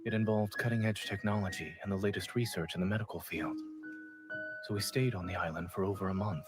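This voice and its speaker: A man playing a calm, reserved young doctor, the gentle love interest in a romantic video game, speaking in a measured way. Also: lowish voice, natural voice. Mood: calm, reflective. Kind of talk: dramatic game voice acting. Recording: game audio under clear music.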